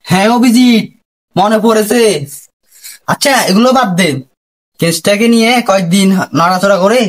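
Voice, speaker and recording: A man with a regional Bangladeshi accent playing a pompous, crooked police detective boss who barks orders and declaims like a poet in a comedy sketch. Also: high-pitched. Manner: bossy, mock-poetic declaiming. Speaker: comic actor in a skit. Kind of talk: amateur comedy sketch parodying a TV crime show.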